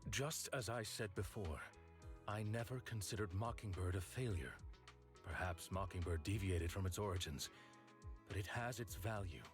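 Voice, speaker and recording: A very deep-voiced gentleman butler in a video game voiced by a man, formal and restrained. He speaks in measured phrases with quiet care beneath the stoicism. Soft music sits behind the voice. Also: natural voice. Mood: solemn, sincere. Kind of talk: scripted game story dialogue.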